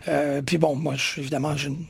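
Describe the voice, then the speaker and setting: A man with a Quebec French accent interviewing an author, eager and quick, often finishing the guest's sentences. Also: a little high, level tone, vocal fry, slightly rough. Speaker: interviewer, host. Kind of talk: casual interview chat.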